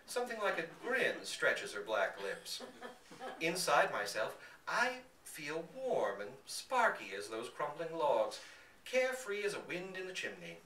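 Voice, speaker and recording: Animated man reading a story, roomy sound. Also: fairly high pitch, unhurried. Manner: theatrical, dramatic emphasis. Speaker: reader at a live literary reading. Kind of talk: expressive literary narration. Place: indoor public reading in a bookstore. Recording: roomy, distant mic, faint background noise.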